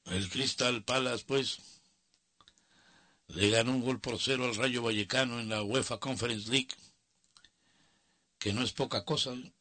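A Mexican man hosts a sports radio show in a sharp, bright voice. He talks casually and gives his opinions in colloquial, grumbling asides. The sound is close and very dry, as in a radio studio. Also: mid-range voice, fairly even intonation, unhurried, long pauses, dry recording.

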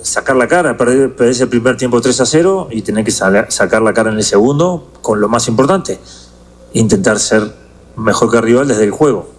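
A man speaking as a soccer coach at a press conference, halting and repeating words for emphasis. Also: medium pitch.

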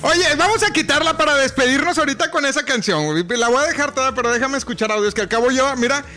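High-voiced joking Mexican radio host. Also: male voice, sharp tone. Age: 20s. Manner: teasing, joking, quick slang. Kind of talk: casual radio banter. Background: music playing.

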